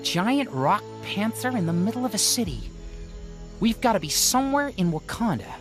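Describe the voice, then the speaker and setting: A woman voicing a youthful, wisecracking superhero in an animated game. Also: mid-range voice, normal speed, faint music behind, natural voice. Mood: puzzled. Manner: casual, quippy.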